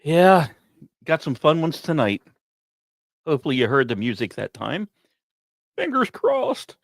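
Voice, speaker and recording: A man hosting a podcast, reading scripted narration in a dramatic storytelling manner with pauses and wry, casual asides. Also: fairly high pitch, huge pitch swings, creaky, no echo, studio quality. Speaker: podcast host.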